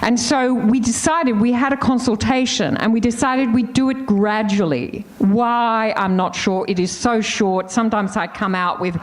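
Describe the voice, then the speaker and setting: A woman's voice: on the high side, steady tone, normal speed, few pauses. An older woman with an Australian accent, telling candid, laughing stories as a panelist. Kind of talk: casual storytelling on a panel.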